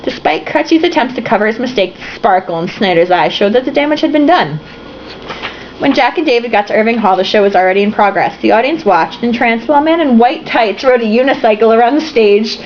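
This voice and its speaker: A woman's voice: on the high side, expressive, fairly quick, highs cut off, slight background noise. Tipsy young woman reading aloud. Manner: swearing, giggling asides. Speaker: reader. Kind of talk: reading a novel aloud with comments.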